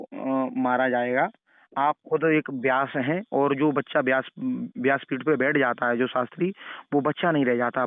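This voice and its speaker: A man's voice: mid-range voice, clean tone, no echo. A man preacher giving elder-brotherly, moralizing advice in a phone conversation, repeating his points for emphasis. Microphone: phone call. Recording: narrow tinny phone call recording.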